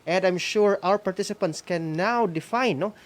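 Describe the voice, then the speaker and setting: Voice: mid-range voice, normal speed. Filipino co-host, hesitant and chatty. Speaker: co-host of a school-on-the-air program. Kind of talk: casual hosting, unscripted reminders to participants.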